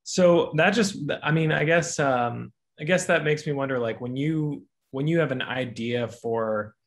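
A man's voice: medium pitch, unhurried. A man in his thirties casually asking interview questions on a video call, tentative and searching for his words. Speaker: graduate student panelist. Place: bright room at home, on a video call.

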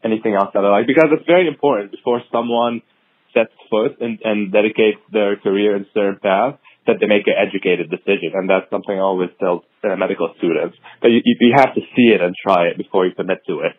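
A man in his thirties from Syria, a physician answering interview questions in a measured, thoughtful way with many pauses and ums. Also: fairly low pitch, husky, no room sound. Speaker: hematology-oncology fellow, podcast guest. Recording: tinny phone-like audio.

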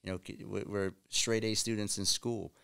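A man's voice: lowish voice, flat delivery, normal speed, creaky, pushed and bright, clear, resonant tone. A man, hosting a casual studio podcast conversation, emphatic and prone to repeating himself. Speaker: podcast host. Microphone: boom mic on stand.

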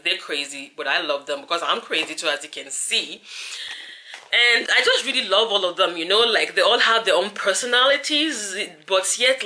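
A woman's voice: medium pitch, sharp tone, slight background noise. A tired young woman talking to her camera from her couch late at night, chatty and rambling as she wraps up her vlog. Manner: chatty, rambling, lots of "like" and "you feel me". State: tired, voice deeper than usual. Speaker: vlogger. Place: living room couch at night. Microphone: camera held at arm's length.

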